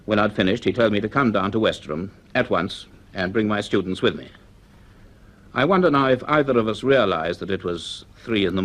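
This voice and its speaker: An actor playing a grave university professor in a radio drama, speaking in a deliberate, earnest, emphatic way with thoughtful pauses. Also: male voice, deep voice. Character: grave male university professor recounting a mystery. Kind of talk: radio drama acting, part notes, part dialogue.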